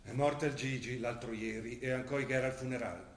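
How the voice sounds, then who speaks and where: An actor playing a middle-aged provincial father in a stage drama, gruff and reproachful, speaking colloquially with a Trentino northern Italian accent. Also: mid-range voice, a little fast, slight background noise, close to an everyday voice. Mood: hurt, reproachful. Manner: scolding, colloquial. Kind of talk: stage drama acting in dialect. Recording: roomy theatre sound, faint music. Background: faint music.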